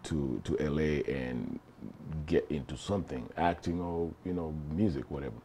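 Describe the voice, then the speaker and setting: A middle-aged man from Kenya, an actor telling his story as an interview guest, relaxed and chuckling, with frequent restarts. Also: rumbling low, moderate pace, a little hiss or hum. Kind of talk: casual interview chat.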